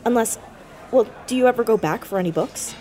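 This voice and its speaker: A woman's voice: a little high, fairly quick, warm tone, slight background noise, close to an everyday voice. A young woman playing a blunt, sardonic, self-conscious teenage girl in a naturalistic audio drama, easily exasperated. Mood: inquisitive.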